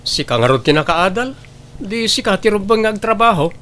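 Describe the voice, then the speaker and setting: Man acting irritable, higher-voiced Ilocano husband. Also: animated, fairly quick, fairly bright tone. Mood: irritated, scornful. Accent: Ilocano Filipino. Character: irritable, selfish young husband. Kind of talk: heartfelt audio drama acting.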